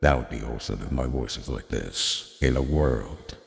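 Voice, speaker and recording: A man putting on a deep gravelly booming voice. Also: moderate pace, in a large hall. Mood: mock grand.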